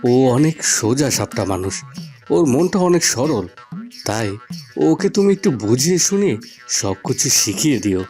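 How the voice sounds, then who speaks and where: A man's voice: mid-range voice, sing-song, normal speed, a little mellow, faint music behind, lightly acted. A man voicing a mild, patient young village husband in a cartoon. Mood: gently explaining. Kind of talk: Bengali cartoon voice acting.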